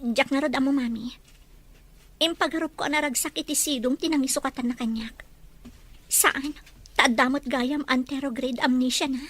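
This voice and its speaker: A woman acts out a wounded young wife in a family melodrama. Her voice is very high and full of anguish. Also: fairly even intonation, normal speed, long pauses, fairly bright tone. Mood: hurt. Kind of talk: radio-style melodrama acting.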